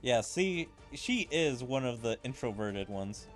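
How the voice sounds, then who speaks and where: A man's voice: medium pitch, very animated, a little slow, soft background music. A man giving casual let's-play commentary in a musing, informal way, with filler-heavy asides. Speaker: gaming video host. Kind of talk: casual let's-play commentary, reading game dialogue aloud.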